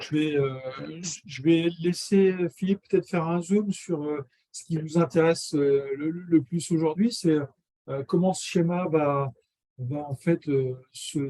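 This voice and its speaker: A man giving an explanatory presentation in a halting delivery, searching for his words. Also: a little high, dark tone, no echo, low-quality recording.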